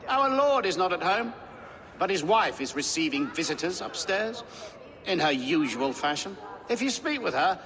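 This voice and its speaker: A man playing a boisterous, sardonic Saxon drinker in a game cutscene, taunting loudly. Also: sits high, very animated, normal speed, big-room sound, crowd noise. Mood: mocking. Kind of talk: dramatic game cutscene acting.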